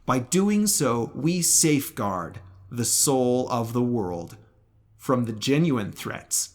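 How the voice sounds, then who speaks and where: A man narrating a scripted religious commentary in a formal, measured way with precise enunciation. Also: medium pitch, animated. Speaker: video essayist.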